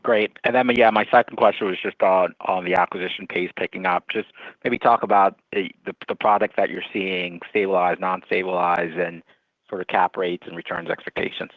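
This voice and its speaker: A man's voice: low-pitched, fairly even intonation, a little fast, no echo, narrow, tinny audio. A man speaking as a financial analyst, putting casual, hedging questions on an earnings call over phone audio.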